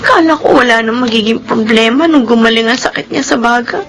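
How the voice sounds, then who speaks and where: A woman's voice: a little high, fairly quick, faint music behind, natural voice. A woman playing a devoted, meek young maid in a Filipino radio drama, polite and emotional. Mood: tearful, sad.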